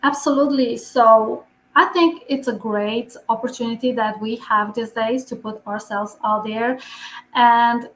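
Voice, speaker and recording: A woman's voice: on the high side, fairly even intonation, unhurried, slight background noise. A woman from Romania with a Romanian accent, speaking earnestly as a podcast guest; English is not her first language. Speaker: podcast guest, visibility expert. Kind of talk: podcast interview. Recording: echoey room.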